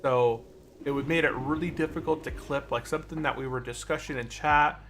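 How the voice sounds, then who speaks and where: A man's voice: mid-range voice, fairly even intonation, a little fast, fairly bright tone. A man chatting casually on a gaming livestream, rambling and thinking aloud as he plays. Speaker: livestream host, gamer.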